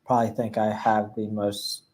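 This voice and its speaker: A man's voice: on the low side, monotone, moderate pace, a little mellow, slight background noise. A man from Mississippi with a Southern accent, co-hosting a Q&A, reading out questions and chatting in an easygoing way. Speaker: co-host reading viewer questions. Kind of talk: casual chat.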